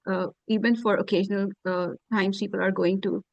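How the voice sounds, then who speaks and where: A woman halting through two questions in a webinar, with many pauses, over a video call. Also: medium pitch, flat delivery, gravelly, darkish tone, dry recording, dull audio. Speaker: webinar audience member asking questions.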